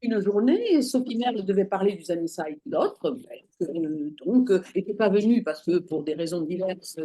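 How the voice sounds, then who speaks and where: Animated woman telling her story warmly. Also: medium pitch. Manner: lively, searching for words with many fillers. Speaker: interview guest, holistic practitioner. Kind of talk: conversational storytelling.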